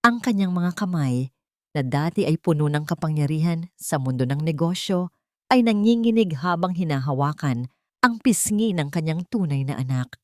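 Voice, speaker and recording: A woman's voice: low voice, lively intonation, moderate pace, dark tone, no echo, studio quality. A woman storyteller delivering scripted, dramatic narration of an audio story.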